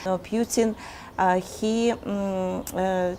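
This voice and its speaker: A woman's voice: mid-range voice, steady tone, extremely slow, warm tone, a little hiss or hum. A woman from Crimea, answering interview questions earnestly with hesitant fillers. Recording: echoey room.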